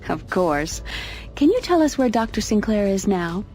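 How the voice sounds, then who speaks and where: An actress playing a brisk, shrewd agent who takes charge in a video game cutscene. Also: female voice, medium pitch, big pitch swings, a little mellow, faint music behind, close to an everyday voice. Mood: polite, smooth-talking. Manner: businesslike, in control.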